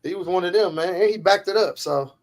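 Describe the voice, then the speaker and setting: A man's voice: sits high, a little fast. Emphatic cussing man in his forties. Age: 40s. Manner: loud, profane, emphatic. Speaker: podcast co-host. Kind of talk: casual chat.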